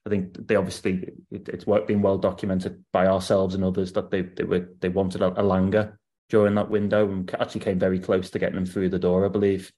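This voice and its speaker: A man's voice: low-pitched, flat delivery, quick pace, dark tone. A man, a football writer on a podcast, talking through his analysis at length in a casual chat. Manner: analytical, weighs points at length.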